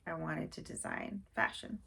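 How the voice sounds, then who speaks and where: Young woman vlogger, lowish voice, casual and chatty with fillers, first-video nerves. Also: normal speed, vocal fry, bright, forceful tone, breathy edge. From Haiti. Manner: chatty and a little nervous, with many fillers. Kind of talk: casual chat to camera.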